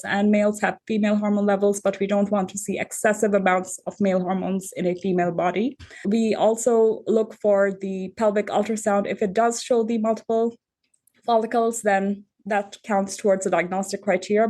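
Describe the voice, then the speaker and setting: A woman from Bangladesh, an endocrinologist, giving measured, methodical explanations as a podcast guest. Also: mid-range voice, a little mellow. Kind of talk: explanatory interview answers.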